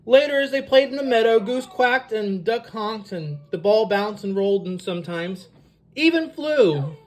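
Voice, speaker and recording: A storyteller reading a picture book aloud for young children in an animated, expressive way. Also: medium pitch, normal speed, rough recording.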